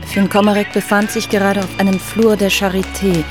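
A woman narrates a radio crime drama in an even, mid-pitched voice with little melody. Music plays behind her. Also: fairly quick.

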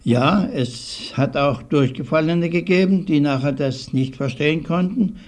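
An older man giving recollections in an interview, speaking haltingly and deliberately with old-fashioned formal phrasing. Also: a little high, darkish tone. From southwestern Germany. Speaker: interviewee, early radio hobbyist. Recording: 1950s archival radio recording.

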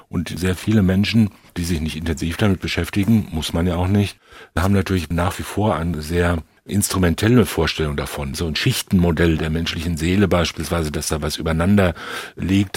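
Male expert guest, very low and rough-edged voice, measured and precise in analytical discussion. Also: darkish tone, no room sound. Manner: measured, precise, explanatory with legal terms.

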